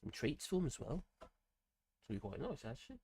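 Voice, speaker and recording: An older man with grandchildren, hosting a casual live stream, hoarse from a cold and chatting in a rambling way with many fillers and restarts. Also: medium pitch, big pitch swings, a little fast, a little mellow, a little hiss or hum. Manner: rambling, chatty, with frequent fillers and self-corrections.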